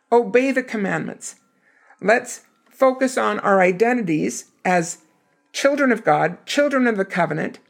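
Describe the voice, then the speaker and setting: A hoarse woman giving an earnest, emphatic scripture lesson. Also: medium pitch. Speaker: scripture teacher.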